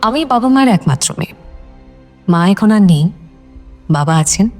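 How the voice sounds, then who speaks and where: A woman's voice: mid-range voice, lively intonation, a little slow, darkish tone, close to an everyday voice. A woman playing an educated, self-possessed expatriate wife who gives brief answers with English words mixed in, in an audio drama. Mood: composed. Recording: faint music behind the voice.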